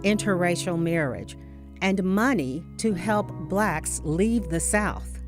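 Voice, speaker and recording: Female documentary narrator, slightly low pitch, scripted delivery, clear guitar music behind. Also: slow.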